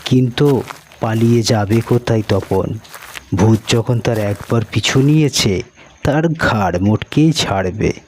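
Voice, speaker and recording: A man's voice: medium pitch, unhurried, slight background noise. A man narrating a scripted cartoon story as its storyteller.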